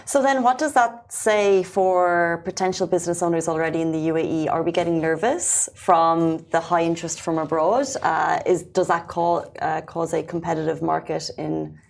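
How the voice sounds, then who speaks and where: A woman's voice: on the low side, steady tone, moderate pace, vocal fry, hardly any pauses. A woman hosting a casual panel discussion, asking long, winding questions. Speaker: show host.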